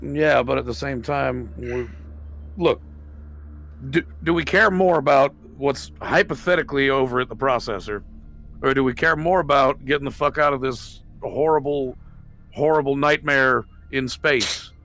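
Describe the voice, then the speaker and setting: A man's voice: mid-range voice, normal speed, fairly bright tone. A man casually bantering as a tabletop game player, with a profane, opinionated, rambling manner.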